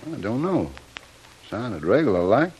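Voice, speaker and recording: An actor playing a bold, practical sailor with brusque rustic speech in an old-time radio adventure. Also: male voice, medium pitch, sing-song, a little slow, darkish tone. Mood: watchful. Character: plain-spoken, bold, practical sailor on night watch. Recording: noisy old radio drama recording.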